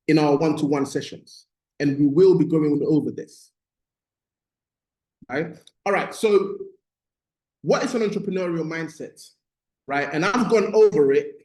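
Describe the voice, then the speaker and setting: A man's voice: on the high side, darkish tone. A man from Ghana who grew up in the UK, teaching as a motivational business coach, emphatic and repeating key phrases with frequent check-ins. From born in Ghana, moved to the UK at eight. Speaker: business mindset coach leading a course. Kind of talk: motivational teaching in a live online session. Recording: roomy, echoing sound.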